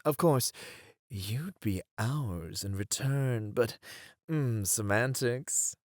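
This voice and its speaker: A man playing a charming, smug male incubus demon in a flirty ASMR roleplay, with a teasing, drawn-out delivery. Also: medium pitch, no room sound, clean studio sound, lightly acted. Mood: seductive, coaxing.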